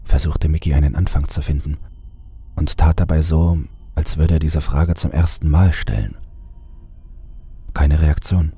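Very deep, gravelly male horror narrator. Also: a little slow, mellow. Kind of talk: scripted horror story narration.

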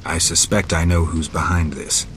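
A man acting a stoic, battle-weary monster hunter in a video game, speaking in a terse, deadpan way. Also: deep voice, normal speed, slight background noise. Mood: stoic, guarded.

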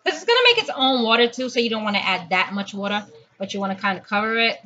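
A woman's voice: medium pitch, animated, quick pace. A woman in her thirties giving a casual, chatty home cooking vlog, talking viewers through each step while her hands are busy. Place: home kitchen, indoors. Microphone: built-in camera or phone mic within arm's reach. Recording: dull lo-fi audio, loud noise, clicking.

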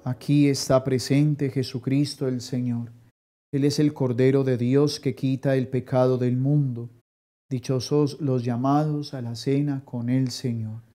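A man in his thirties, a priest leading Mass and adoration prayers slowly and solemnly in a small chapel. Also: medium pitch, level tone, warm tone, faint music behind. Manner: slow, solemn liturgical delivery.

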